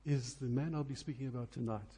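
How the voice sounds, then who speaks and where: Man preaching earnestly in a muffled, husky voice. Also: mid-range voice, fast, dark tone. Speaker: preacher introducing a recording. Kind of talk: sermon to a congregation.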